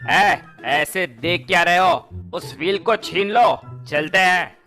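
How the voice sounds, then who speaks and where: A man's voice: high voice, slow, pushed and bright, soft background music, voice pushed up, full character voice. A man voicing a rude, bossy, grasping rich villain who scolds and dismisses people in a storybook tale. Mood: aggressive, bossy.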